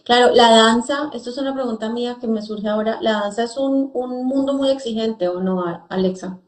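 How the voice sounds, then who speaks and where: A woman's voice: on the high side, brisk. A woman hosting an interview in a conversational style, speaking in Spanish. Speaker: interview host. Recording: echoey room sound.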